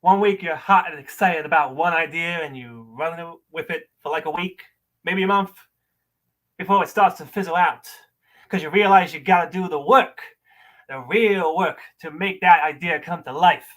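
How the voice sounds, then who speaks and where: Bitter, scornful man played by a male actor, higher-voiced, berating someone emphatically in an audition monologue. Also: moderate pace, fairly bright tone, lightly acted.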